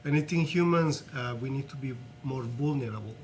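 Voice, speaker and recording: A man with a Colombian accent and a mid-pitched voice speaks as an interview guest. He is earnest and reflective, feeling for words in his second language. A faint noisy rumble sits behind him. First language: Spanish. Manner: earnest, reflective, halting non-native English. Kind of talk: personal storytelling in an interview.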